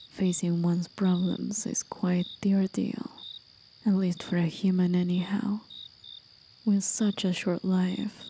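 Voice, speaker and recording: Woman as contemplative demon guide for ASMR roleplay: hushed, mellow whisper, unhurried, faint hiss and crickets behind. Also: fairly low pitch. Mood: musing, wistful. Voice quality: soft, breathy whisper. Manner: slow, contemplative, soothing. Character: gentle, musing demon guide, curious about humans.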